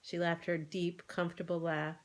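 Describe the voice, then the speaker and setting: A woman's voice: fairly low pitch, level tone, normal speed. A woman narrating a children's storybook aloud.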